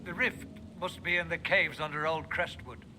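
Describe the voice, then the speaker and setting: An actor playing a weary village mayor who speaks hesitantly and anxiously. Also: male voice, fairly high pitch, normal speed, noisy background, natural voice. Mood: worried. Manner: hesitant, worried.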